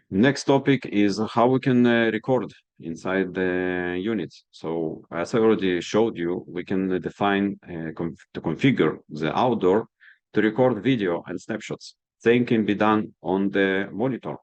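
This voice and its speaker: A man with a low, creaky voice walks through a product demonstration. He explains things step by step like a trainer checking that everyone follows, and the sound is close and dry. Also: a little mellow, no room sound. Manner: step-by-step, instructional, checking understanding. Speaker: product trainer presenting a webinar.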